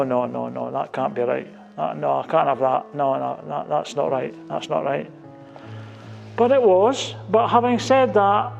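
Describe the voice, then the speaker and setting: An earnest Scottish man in his sixties with a gravelly edge and a voice that sits a little high for a man. He answers interview questions reflectively and sometimes circles back over his words, with light music running underneath. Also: lo-fi sound. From Scotland. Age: 60s. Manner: earnest, reflective, some repetition. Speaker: team captain.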